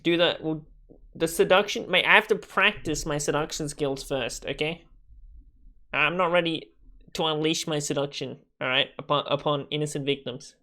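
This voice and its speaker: A man's voice: on the high side, moderate pace, pushed and bright. A man giving casual livestream gaming commentary in a slangy, sweary, bantering way. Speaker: livestream gamer.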